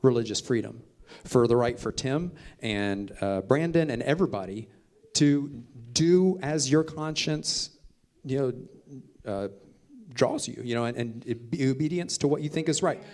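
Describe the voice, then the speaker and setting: A man with a middling pitch answers as a panelist in a discussion. He is conciliatory and halting, feeling out his phrasing and adding little tag questions. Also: expressive, darkish tone. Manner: conciliatory, halting, with "right?" tags. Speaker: humanist atheist panelist. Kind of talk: unscripted debate answer.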